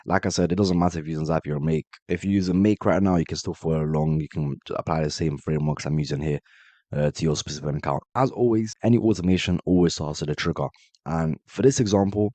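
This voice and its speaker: A man with a very low voice talks quickly through a screen-share tutorial, fighting off a cold. His tone is dark and mellow, and the dry, close sound has no room in it. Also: no echo. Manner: brisk, direct step-by-step walkthrough addressing viewers as guys. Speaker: automation tutorial host. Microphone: voice-over on screen recording.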